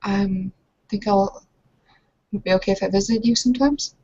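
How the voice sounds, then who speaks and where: A woman playing a nervous, polite young wife in a tabletop roleplay, speaking in a halting, awkward way. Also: mid-range voice, mellow, muffled recording, lightly acted. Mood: tentative. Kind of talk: tabletop roleplay, improvised in character.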